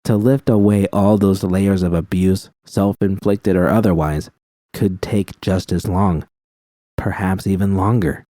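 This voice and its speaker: A man narrating first-person fan fiction in a measured, steady storytelling manner. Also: low-pitched, dry recording. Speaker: reader.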